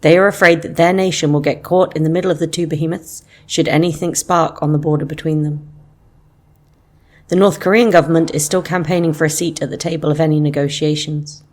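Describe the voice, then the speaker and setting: A female foreign correspondent, files a serious, factual radio report. Her voice is very low for a woman, and she keeps to a scripted news style. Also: steady tone, fairly quick.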